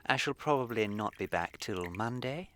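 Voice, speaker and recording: Idle, amused young Victorian dandy played by a man, animated intonation, teasing radio comedy, noisy recording. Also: mid-range voice, normal speed, lightly acted. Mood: breezy. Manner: teasing, languid wit. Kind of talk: period radio comedy acting.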